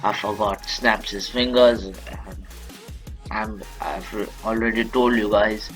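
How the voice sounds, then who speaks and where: A young Indian man narrates a fan story in English, his second language after Marathi. His voice sits low, and he stops often to restart and correct himself. Soft music plays under his voice. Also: fairly even intonation. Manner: halting, many restarts and corrections. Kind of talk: retelling a fan story in plain speech.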